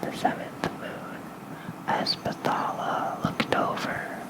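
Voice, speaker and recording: A soft ASMR host reads mythology in a full whisper, with no voiced tone. The delivery is gentle and very unhurried, spoken close into a fluffy-covered mic for a bedtime listener. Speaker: ASMR host reading lore. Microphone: close fluffy-covered microphone.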